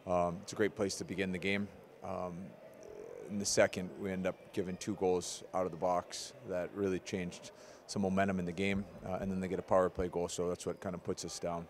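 A man in his forties, a head coach, giving a measured, analytical postgame interview with frequent ums, into a handheld mic in an arena corridor. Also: low-pitched, flat delivery, slight background noise.

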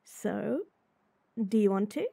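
A woman voicing a sweet, bubbly young rental girlfriend in an intimate roleplay audio, giggly, chatty and gently reassuring. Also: mid-range voice, expressive, takes it slowly, gravelly, husky, dry recording, heavily acted. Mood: gentle, slightly shy. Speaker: voice actor.

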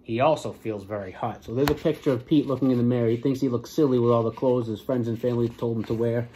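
A man in his forties, a police lieutenant, reading a storybook and speaking to schoolchildren in a plain, deliberate way. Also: medium pitch, fairly even intonation, warm tone, slight background noise, rough recording. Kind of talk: children's storybook read-aloud and address to pupils. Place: small office, indoors.

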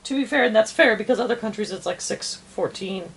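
A woman's voice: mid-range voice, a little fast, gravelly. A woman chatting casually in a blunt, opinionated way, swearing freely. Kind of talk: casual chat.

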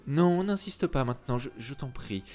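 A man's voice: animated, normal speed, a little mellow. A man voicing a curt, teasing young chambermaid in an audiobook reading. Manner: curt and teasing, high put-on voice. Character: sharp-tongued young woman, a chambermaid.

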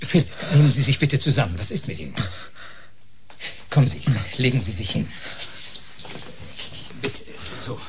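An actor playing a falsely soothing doctor with sinister intent in a German radio drama, measured and placating. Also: male voice, mid-range voice, animated, background noise, close to an everyday voice.

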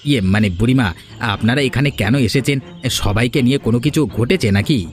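A grumbling cartoon village husband, played by a man, selfish and quick to defend himself. His voice has a middle pitch for a man, with light music behind it. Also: close to an everyday voice. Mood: evasive, defensive. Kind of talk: Bengali cartoon dialogue.

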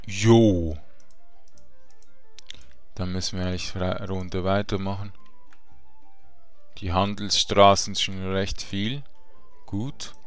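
A man giving relaxed, chatty gameplay commentary with slangy asides. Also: deep voice, slow pace, pauses often, smooth, faint music behind. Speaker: let's play gamer.

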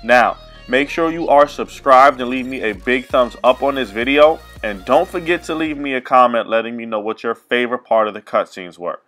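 A man's voice: medium pitch, normal speed, hardly any pauses, fairly bright tone, soft background music. A man hosting a gaming channel, delivering intro patter to his viewers. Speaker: YouTube gaming host.

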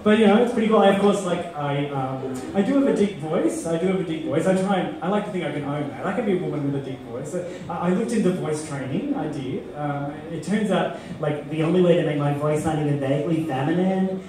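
A middle-aged woman doing a halting, deadpan stand-up set, with many ums, into a handheld mic on a club stage. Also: sits low, crowd noise. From Australia. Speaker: stand-up comedian. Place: comedy club stage before a live audience. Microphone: handheld mic, filmed from far in the crowd. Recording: echoey club audio from far off. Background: audience laughter.

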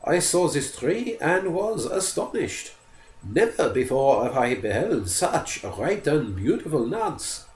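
A frightened, overly polite forester pleading in a high, strained voice, as a man reading the story puts him on. The words come out carefully formal, with a roomy echo around them. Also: big pitch swings, slow pace, darkish tone.

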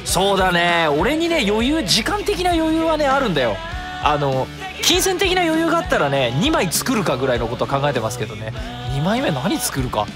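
Guy hosting a radio show, super animated swoopy voice, rambling and thinking out loud, music behind him. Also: sits high, a little fast. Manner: chatty, rambling, thinking aloud with self-corrections. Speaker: radio host. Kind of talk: casual solo radio talk with announcements. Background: background music.

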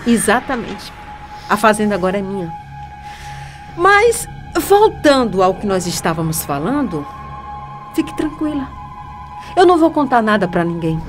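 Young Brazilian female blackmailer, played by an actress: defiant, self-righteous, highly animated, radio soap with faint music. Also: normal speed, pauses often, lightly acted. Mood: cool, dismissive. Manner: theatrical, taunting. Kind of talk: radio soap opera acting.

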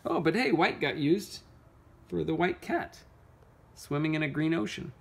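A woman with a low voice reads a picture book to children at home. She chats casually between passages and asks simple questions. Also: measured pace, vocal fry, slightly rough. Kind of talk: casual storybook read-aloud with questions. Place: carpeted room at home.

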